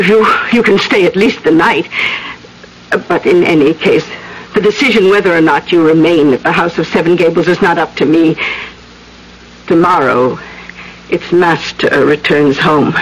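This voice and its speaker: Anxious older spinster, halting speech. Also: female voice, low-pitched. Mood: grave, anxious. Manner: halting, repeating words. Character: anxious, genteel older spinster cousin, loyal and hesitant. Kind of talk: 1970s radio drama acting. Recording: noisy old radio broadcast recording.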